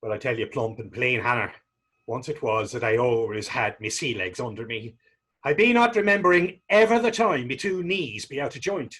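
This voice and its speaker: A man's voice: medium pitch, lively intonation, normal speed, a bit bright, husky. A man playing a naive, earnest young fellow in readers theater, speaking rambling, guileless old-fashioned dialect. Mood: eager, smitten. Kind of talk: readers theater stage acting.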